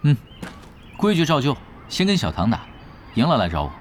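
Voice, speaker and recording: Cheeky gamer, wildly swooping pitch. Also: male voice, huge pitch swings, fairly quick, slight background noise. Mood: nonchalant. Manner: teasing, nonchalant, playful. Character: cheeky, easygoing veteran male pro gamer. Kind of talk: Chinese audio drama acting.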